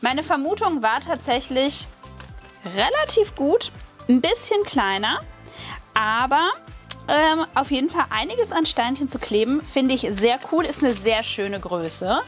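A woman's voice: high-pitched, measured pace, soft background music. Chatty German woman unboxing crafts. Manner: chatty, excited, many fillers. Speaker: craft channel host. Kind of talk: casual unboxing haul. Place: at a wooden table, hands on camera.

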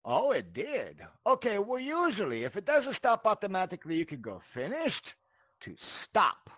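High-pitched, very animated man giving a tutorial. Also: normal speed, no echo. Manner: animated, chatty, checks in with "right?" and "okay?". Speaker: tutorial host. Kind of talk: casual instructional walkthrough.

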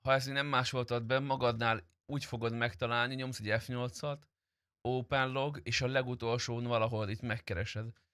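A man talks as a game streamer in a medium-pitched voice. His delivery is casual and teasing, loosely peppered with swearing. The recording is dry, with little room sound. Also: steady tone, fairly bright tone, clear, resonant tone, no echo. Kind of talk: casual live-stream chat during gameplay.

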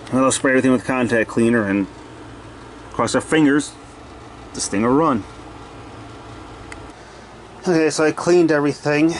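Man muttering over steady background noise. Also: medium pitch, rough recording. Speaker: hobbyist repairer narrating own video. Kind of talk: casual off-the-cuff repair commentary. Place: cluttered indoor workshop. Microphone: handheld camera.

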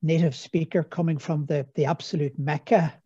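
Husky-voiced Irish man on a dull webcam line. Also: a little high, steady tone, moderate pace, dark tone. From Ireland. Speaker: guest interviewer. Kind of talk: conversational interview over video call. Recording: muffled husky webcam audio.

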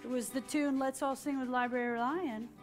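High-pitched woman telling a children's story. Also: fairly even intonation, moderate pace, faint music behind. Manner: dramatic, prompts children to repeat lines. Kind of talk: animated storytelling read-aloud to young children. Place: children's library story room with an audience, indoors.

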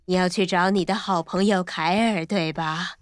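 A woman doing a soft, low kindly witch. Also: steady tone, moderate pace, dry recording, clean studio sound, lightly acted. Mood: welcoming. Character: kind, helpful woman witch.

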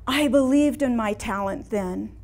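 A woman in her sixties playing an ailing, reflective elderly woman painter in a staged dramatic monologue, with theatrical, measured delivery and long pauses. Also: fairly high pitch, darkish tone. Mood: proud, wistful. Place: small indoor room before a seated audience.

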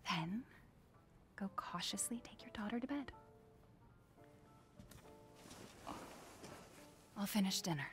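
A woman playing a firm, defensive young mother in a video game, with a hushed, dramatic delivery. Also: mid-range voice, animated, moderate pace, soft background music, natural voice. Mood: defensive, curt. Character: wife and mother, firm, defensive, reading storybook tale.

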